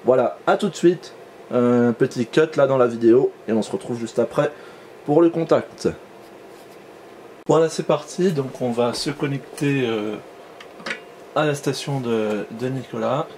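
A man's voice: mid-range voice, a little slow, background noise. A man giving a casual explanatory demonstration as an amateur radio operator, hesitating and repeating words as he goes.

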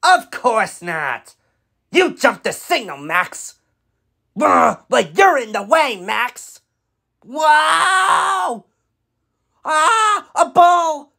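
A cocky cartoon dump truck, voiced by a woman, high and gravelly. It is impatient and pushy, taunting in drawn-out, swooping exclamations. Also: big pitch swings, pushed and bright, full character voice. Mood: brash, impatient. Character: brash, competitive, impatient speeding dump truck. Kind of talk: animated children's show character acting.

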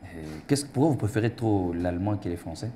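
A man's voice: lowish voice, expressive, fairly quick, mellow, slight background noise. A man in his thirties hosting a TV studio interview, formal and ceremonious, with frequent hesitations. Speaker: TV talk show host. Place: TV studio set.

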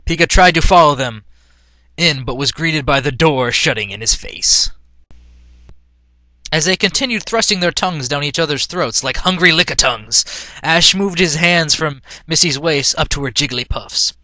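A man's voice: fairly high pitch, bright, forceful tone, no echo. A man reading a story aloud in a mock-dramatic, amused way, as an amateur reader who is prone to cracking up. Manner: breaks into laughter, amused. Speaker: amateur reader of fan fiction.

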